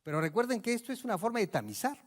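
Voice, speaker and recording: A man's voice: high voice, sing-song, very fast. A male physician giving a medical conference lecture, emphatic and addressing the audience with rhetorical questions. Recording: long reverb of a large hall.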